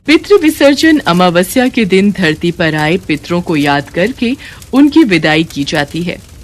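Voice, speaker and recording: A woman's voice: fairly low pitch, big pitch swings, a little slow. A woman storyteller reading a scripted devotional narration.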